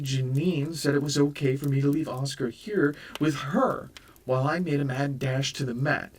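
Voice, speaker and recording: A man's voice: mid-range voice, slightly rough, voice pushed up. A man voicing a stern, exasperated young mother who scolds firmly and reproachfully. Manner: firm and reproachful. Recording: voice a bit far from the mic.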